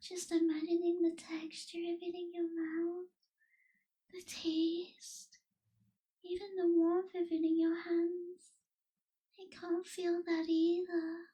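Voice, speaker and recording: A woman playing a lonely, newly turned young female vampire in a soft, breathy, whispered ASMR roleplay, wistful and gentle. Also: very high-pitched, completely flat delivery. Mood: wistful, tender. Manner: slow, hushed, wistful, gentle pauses.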